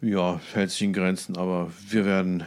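A man's voice: low voice, level tone, normal speed. A man co-hosting a podcast, chatting casually and often hesitating as he searches for words. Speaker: podcast co-host. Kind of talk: casual chat.

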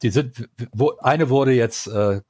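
A man's voice: mid-range voice, measured pace, a little mellow, dry recording, clean studio sound. A man co-hosting a podcast, arguing his opinions at length in a casual talk. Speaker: podcast co-host.

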